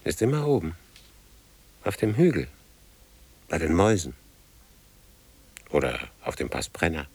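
An actor playing a weary, brooding older man in a radio drama, speaking gravely in halting fragments. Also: deep voice, big pitch swings, a little mellow, no echo, full character voice.

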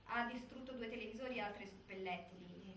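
An actress playing a sober, formal narrator, reading scripted documentary narration for the stage. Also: female voice, medium pitch, fast talker, fairly bright tone, close to an everyday voice. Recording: echoey stage, distant, faint noise.

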